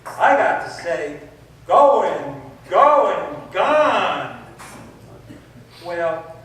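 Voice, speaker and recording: A high-voiced baseball announcer of the 1940s radio days, acted out by a man in a radio play. The sound is very echoey and distant, as if from the back of a hall. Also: fairly bright tone, heavily acted. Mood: excited recap. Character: mid-century baseball radio announcer recapping a game. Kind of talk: 1940s radio sportscast acting. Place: amateur club stage in an echoey hall. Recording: echoey hall from far off, faint noise.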